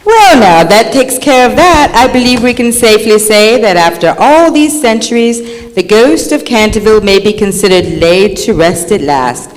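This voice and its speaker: A woman's voice: fairly high pitch, animated, normal speed, close to an everyday voice. Woman as a genteel, lilting lady official. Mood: brisk, official. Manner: formal, genteel, persuasive. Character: polite, proper, conciliatory lady presiding over a hearing. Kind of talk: old-time radio drama acting on stage. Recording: echoey hall, faint noise.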